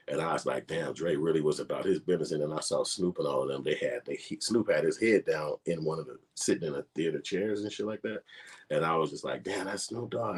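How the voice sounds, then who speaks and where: Man in his 40s, very low husky voice, slangy laid-back storyteller. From Chicago. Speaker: filmmaker vlogger. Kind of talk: casual storytelling.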